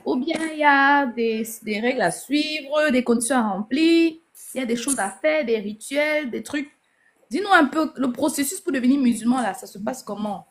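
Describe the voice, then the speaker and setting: A woman's voice: high voice, moderate pace. A woman asking pointed questions in a casual conversation, listing options as she goes. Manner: inquisitive, lists options while asking.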